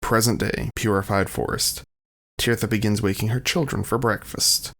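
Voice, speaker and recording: A man's voice: fairly low pitch, steady tone, moderate pace, creaky, fairly bright tone. A man reading scripted scene headings as the narrator of an audio drama.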